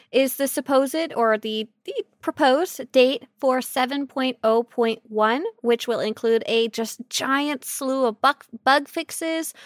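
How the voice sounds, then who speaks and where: A woman co-hosting a podcast with casual, silly banter, talking in an animated, jokey and exclamatory way. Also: on the high side, no room sound, studio quality. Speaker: podcast co-host. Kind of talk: casual, silly banter about an online game.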